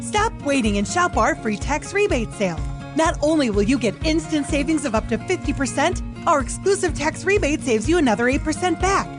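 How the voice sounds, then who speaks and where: High, lively woman ad announcer. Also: a little fast, hardly any pauses, music in the background. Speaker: commercial announcer. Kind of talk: scripted retail ad read.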